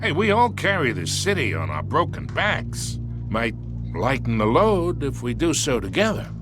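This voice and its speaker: A smug video game mob boss. His pitch is mid-range but swings widely as he swaggers through slangy, folksy threats. Music plays clearly behind him. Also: wildly swooping pitch, moderate pace. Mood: persuasive, boastful. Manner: slangy, swaggering, mock-polite then threatening.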